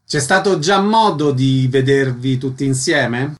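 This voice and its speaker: A man hosting a radio interview, brisk and to the point with short questions. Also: medium pitch, expressive. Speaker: radio host. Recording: radio broadcast.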